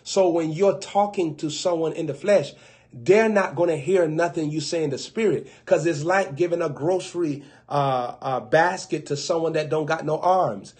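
A man's voice: on the high side, a little mellow. Young man preaching live on webcam. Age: young adult. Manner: playful, acts out skeptics and quips in quick asides. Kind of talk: livestream preaching. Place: indoors before a gold bokeh backdrop with an open Bible. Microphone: webcam close up.

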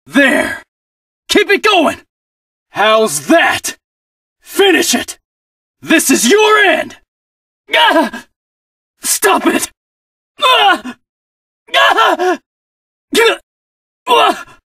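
A cocky, determined armored hero of a fighting game, throws out short punchy battle barks. His pitch runs high and swings wildly from line to line. The phrases come sparse and slow, with space between them. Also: gravelly, no room sound, clean studio sound, distant mic, exaggerated voice. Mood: aggressive, then pained. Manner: short punchy exclamations and combat shouts. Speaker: video game voice actor.

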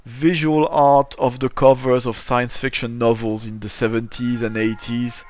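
A man's voice: medium pitch, little pitch movement, measured pace, a little mellow. A middle-aged man from Belgium, hosting a casual podcast interview, chatty and rambling with many restarts. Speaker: podcast host.

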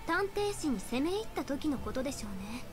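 A self-assured young seer from an anime game, speaks in a high voice with composed authority. Her explanations are formal, and music plays prominently behind her. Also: very animated, fairly quick. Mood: matter-of-fact.

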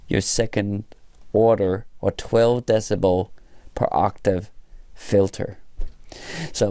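A man with a fairly low, mellow voice teaches in an informal, hands-on way. He takes his time, building explanations in halting short phrases as he thinks aloud. The sound is close and dry. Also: no room sound. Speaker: electronics instructor. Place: small indoor room at a workbench.

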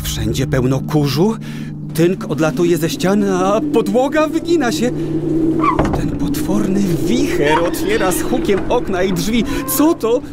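A man playing a brave, excitable young wanderer in a children's radio play, with theatrical exclamations. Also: high-pitched, measured pace, over loud music. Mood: startled, amazed.

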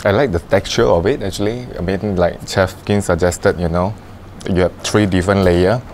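A man in his forties chatting over dinner in a restaurant, relaxed and hesitant, saying "you know" often. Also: low-pitched, normal speed, slight background noise. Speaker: diner guest. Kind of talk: casual dinner conversation. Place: upscale restaurant dining room.

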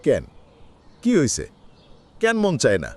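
A man plays a poor, ageing labourer and doting father in a cartoon. His rural Bangladeshi speech is folksy and very animated in pitch. Faint noise with birdsong runs behind it. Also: measured pace. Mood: tender. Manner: expressive, folksy. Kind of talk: cartoon voice acting.

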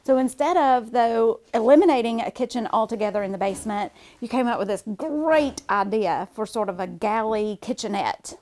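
Woman in her 40s, kinda high voice, chatty and excited, bare framed basement, camera a few feet off. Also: moderate pace, few pauses. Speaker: homeowner and show host. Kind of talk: casual interview chat. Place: gutted basement under renovation, indoors. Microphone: far camera.

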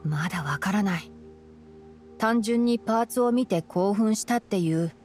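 A woman playing a young male forest ranger in a Japanese anime game, calm and studious, explaining things in a measured way. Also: mid-range voice, soft background music, lightly acted. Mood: calm, analytical. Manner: measured, matter-of-fact explaining.

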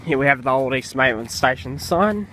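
A young man, chatting casually while filming trains, a bit hoarse from a cold and apologetic, with hesitant restarts. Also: mid-range voice, expressive, moderate pace, sharp tone. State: recovering from a cold, coughing. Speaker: train vlogger. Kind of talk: casual vlog narration. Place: outdoors by railway tracks. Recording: outdoor camera audio with clear background noise.

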